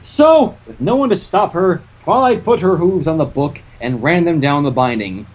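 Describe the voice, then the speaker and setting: A woman narrates fan fiction in a husky voice. Her reading is playful and expressive, with lively rises and falls in pitch. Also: moderate pace, dull audio. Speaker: narrator and reader. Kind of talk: animated amateur fanfiction narration with banter.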